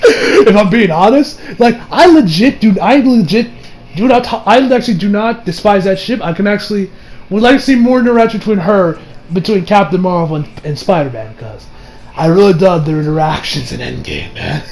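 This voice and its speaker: High-voiced, excitable young man. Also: brisk, slight background noise. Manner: excitable, gushing, swearing, often laughing. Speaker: movie reviewer. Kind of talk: casual unscripted fan review.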